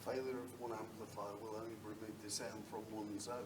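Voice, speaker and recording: A man's voice: on the low side, flat delivery, brisk. Distant, echoey man making blunt remarks. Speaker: industry expert. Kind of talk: informal remarks in a discussion. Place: indoor event room. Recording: echoey, distant mic, noisy room.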